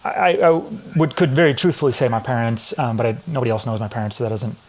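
A man's voice: medium pitch, quick pace, darkish tone. A man in his thirties with a slightly nasal voice, a podcast guest talking casually, full of fillers and restarts. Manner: hesitant, rambling, many restarts and fillers. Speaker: podcast guest, former runner. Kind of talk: casual interview chat.

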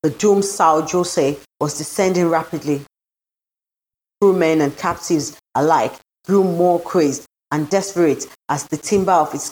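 A woman with a low voice narrates a literary story. Her reading is scripted, solemn and dramatic. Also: a little mellow, poor audio. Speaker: story reader.

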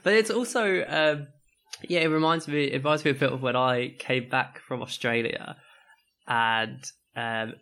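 A man's voice: fairly even intonation, a little slow, bright, forceful tone. A young man chatting casually as a podcast co-host, telling rambling anecdotes and laughing often. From England. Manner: rambling storyteller, laughs often, mimics people in higher voices. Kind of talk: casual chat.

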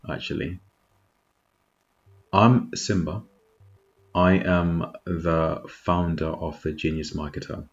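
A man from Zimbabwe hosting a podcast, talking in a relaxed, reflective way. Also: low-pitched. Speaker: podcast host. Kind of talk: casual talk. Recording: dull lo-fi sound with faint noise.

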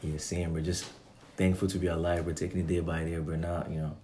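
A man's voice: low-pitched, level tone, brisk, mellow, a little hiss or hum. A young man from Ghana hosting a podcast, talking in laid-back slang and calling everyone bro. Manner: laid-back slang-heavy talk, calls people bro. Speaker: podcast host. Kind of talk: casual podcast chat.

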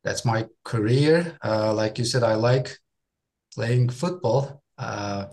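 A man in his forties from Turkey, a guest chatting in a relaxed interview, often repeating words as he goes. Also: medium pitch. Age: 40s. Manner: friendly, with frequent repeated words and quick laughs. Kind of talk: casual interview chat.

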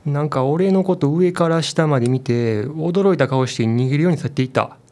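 An actor with a Kansai accent playing a casual, blunt convenience store clerk in a radio drama. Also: male voice, fairly high pitch, a little fast, darkish tone, natural voice. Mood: puzzled, explaining.

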